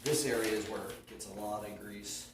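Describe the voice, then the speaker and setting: A man with a slight sniffle from a cold, talking in a soft-spoken, rambling, self-correcting way as he explains a paint job step by step in a house. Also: lowish voice, steady tone, moderate pace. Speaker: DIY restoration vlogger. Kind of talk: casual how-to narration while working. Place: living room of a house, working on a frame. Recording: echoey room, far from mic, noisy.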